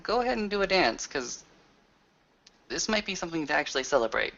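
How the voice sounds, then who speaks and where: Guy doing a blunt sweary werewolf packmate in a tabletop game, punchy bright voice, muffled lo-fi audio. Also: fairly high pitch, very animated, brisk, creaky, pitched above the performer's own voice, full character voice. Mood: baffled. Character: confused, blunt, foul-mouthed man in a werewolf sept.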